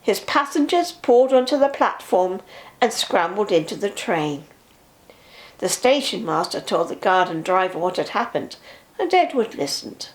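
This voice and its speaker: An older woman, a grandmother, reading a children's story aloud with expressive narration. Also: mid-range voice, a little slow.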